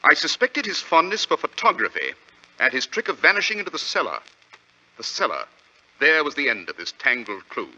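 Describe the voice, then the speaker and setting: A man acting a brilliant, self-assured detective in a 1940s radio drama, explaining his deductions in a crisp, theatrical manner. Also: medium pitch, bright, forceful tone, full character voice. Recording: dull, lo-fi vintage radio recording.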